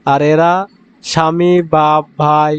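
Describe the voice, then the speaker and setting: A man's voice: fairly high pitch, level tone, takes it slowly, clear, resonant tone. Male actor as devout young man in comic cartoon, earnest, dull sound over music. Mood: preachy. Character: earnest, pious young man rejecting his ex-girlfriend. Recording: dull lo-fi audio, music underneath.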